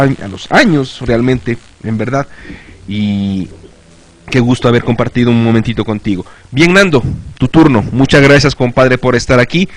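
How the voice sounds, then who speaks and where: A man's voice: mid-range voice, no room sound. Dry-sounding man hosting an online show. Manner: friendly, apologetic, wrapping up. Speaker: host. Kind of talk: casual online radio show.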